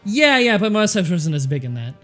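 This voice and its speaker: A man in his twenties, loud and self-deprecating, swearing freely while commentating a strategy game with a friend. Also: high-pitched, sing-song, fairly quick, soft background music. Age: 20s. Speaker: gaming commentator. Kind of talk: casual gameplay commentary chat.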